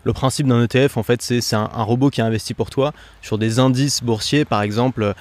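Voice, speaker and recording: A man hosting a casual conversational interview, explaining investing ideas quickly with frequent fillers and restarts. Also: mid-range voice, steady tone, no room sound. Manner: fast, explanatory, peppered with fillers. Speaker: podcast host.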